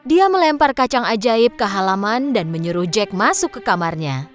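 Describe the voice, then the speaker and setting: A woman's voice: medium pitch, sing-song, normal speed, soft background music. A woman narrating a scripted fairy tale for children.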